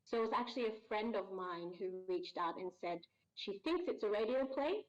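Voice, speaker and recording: A woman's voice: mid-range voice, animated, brisk. Chatty woman on a muffled call. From Australia. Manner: warm, chatty, laughs easily, many ums. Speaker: guest voice actor. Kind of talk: casual interview chat.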